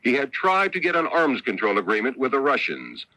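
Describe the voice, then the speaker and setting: An American man files a radio report in a sharp, forceful voice with lively rises and falls. His phrasing is emphatic and measured, as a correspondent's scripted analysis. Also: mid-range voice, dry recording, rough recording. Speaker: news correspondent.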